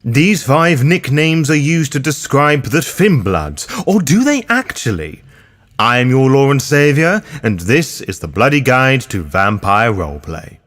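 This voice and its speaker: A man narrating a scripted guide as its host, with a theatrical, deliberate delivery and dry, irreverent asides. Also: mid-range voice, big pitch swings, a bit bright. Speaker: host of a roleplay guide show.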